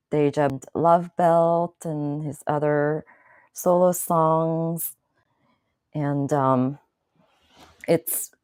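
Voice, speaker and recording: A woman hosting her own channel, chatting casually to viewers in a slow, thoughtful way with many fillers and restarts. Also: low-pitched, a little mellow, clean tone, dry recording, studio quality. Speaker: channel host. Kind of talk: casual live-stream talk to viewers.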